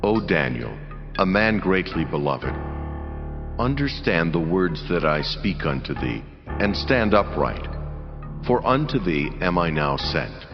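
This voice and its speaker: An actor playing a solemn, commanding angel in a dramatized scripture reading, speaking in measured, declamatory phrases. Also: male voice, sits low, very animated, full character voice. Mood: solemn, authoritative. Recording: dull sound, long cavernous reverb, music underneath.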